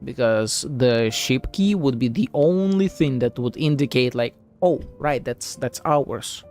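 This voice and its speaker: A man's voice: mid-range voice, expressive, unhurried, darkish tone. Sceptical, sweary male game streamer. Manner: profane, sceptical, thinking aloud. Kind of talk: casual live gameplay commentary. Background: game music.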